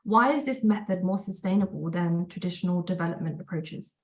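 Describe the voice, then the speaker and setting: A woman's voice: on the low side, brisk, darkish tone. Woman hosting a panel in an echoey room. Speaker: event host and moderator.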